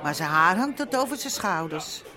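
An actress playing a confiding, relieved mother in a radio drama, talking amid loud crowd chatter. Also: on the low side, sing-song, normal speed. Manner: confiding, gossipy.

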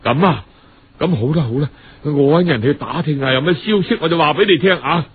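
Male storyteller, Cantonese accent, high husky voice, dramatic colloquial narration, dull lo-fi recording. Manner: dramatic, colloquial, with drawn-out phrase-end particles. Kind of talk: traditional radio storytelling, audiobook narration.

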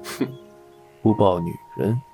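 A man's voice: lowish voice, slow, warm tone, soft background music. A man playing a shrewd, worldly gambling boss in an audio drama, speaking with authority. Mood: worldly, probing.

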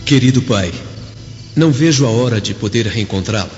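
An actor playing a deferential young slave with secret resolve, speaking in earnest theatrical diction in a radio drama. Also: male voice, mid-range voice, moderate pace, darkish tone, close to an everyday voice. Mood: bitter, resolute. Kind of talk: Brazilian radio drama acting. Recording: dull audio, long reverb, music behind.

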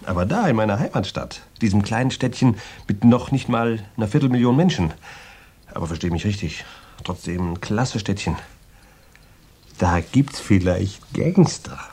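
An actor playing a boastful, tough former heavyweight boxer in a radio crime drama, rambling in slangy, gravelly talk with swagger. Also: male voice, on the low side, animated, normal speed, a little mellow. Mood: amused, sly. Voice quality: gravelly, husky.

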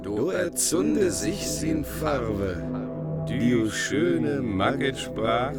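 An actor playing a solemn medieval minstrel, chanting and declaiming old epic verse in a radio play. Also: male voice, medium pitch, lively intonation, extremely slow, over loud music. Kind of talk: half-sung recitation of Middle High German verse in a radio play.

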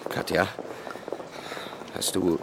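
An actor playing a defensive, secretive scientist in halting, terse, naturalistic audio drama dialogue. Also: male voice, background noise. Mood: evasive. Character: defensive, secretive scientist talking to his partner.

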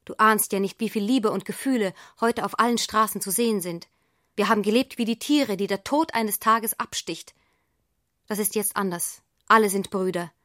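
An actress playing an idealistic, headstrong young daughter who wants to be a nurse, speaking earnestly and insistently in a radio drama. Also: female voice, mid-range voice, fairly bright tone, no room sound, clean studio sound, close to an everyday voice. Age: young adult. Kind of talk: German radio drama acting.

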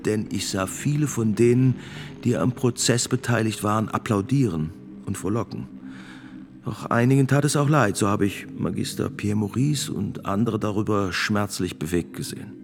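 An actor playing a grave priest and notary of sixty-six, testifying in a radio drama with gravelly, deliberate, formal speech. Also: male voice, on the low side, natural voice. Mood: grave, reflective. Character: sixty-six-year-old male priest and court notary, grave witness.

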